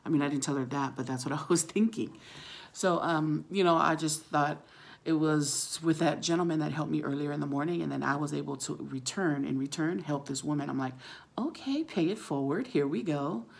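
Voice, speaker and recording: A middle-aged woman with a husky, gravelly voice, chatting casually to camera and telling a story, acting out the dialogue as she goes. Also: low voice, fairly even intonation, a little fast. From Samoan, living in California. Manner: chatty, acts out quoted dialogue. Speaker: vlogger. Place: small room at home, indoors. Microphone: phone at arm's length.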